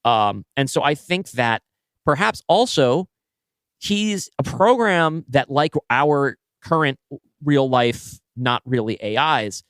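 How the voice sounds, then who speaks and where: A man co-hosting a podcast, brainstorming story ideas in a casual, halting conversation as he thinks aloud. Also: mid-range voice, lively intonation, fairly bright tone, dry recording. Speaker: podcast co-host and story writer.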